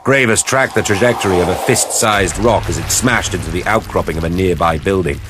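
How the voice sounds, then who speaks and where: An extremely deep male voice delivers dramatic scripted narration. He is grave and measured, as befits an audio drama storyteller. A faint bed of stormy noise runs behind him.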